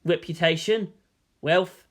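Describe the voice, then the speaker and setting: A male storyteller reads dramatic fiction in a scripted narration style. His phrasing is expressive and deliberate, and his voice is fairly high for a man.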